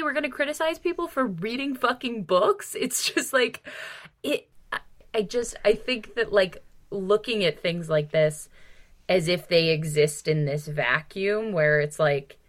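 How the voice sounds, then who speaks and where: A woman's voice: fairly high pitch, fairly bright tone. Emphatic woman in casual podcast chat. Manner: emphatic, exasperated, swears freely. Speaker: podcast co-host. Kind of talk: casual opinionated book discussion.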